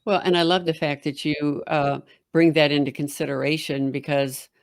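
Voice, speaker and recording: A woman with a deep voice hosting an interview, talking in a very direct way. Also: flat delivery, moderate pace, no echo, clean studio sound. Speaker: podcast host. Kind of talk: interview conversation.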